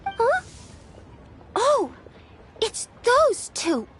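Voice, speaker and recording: A woman's voice: very high-pitched, huge pitch swings, drawn-out words, soft background music, exaggerated voice. A woman voicing an innocent, forgiving little girl in a video game, with a childlike, hesitant way of speaking. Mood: surprised.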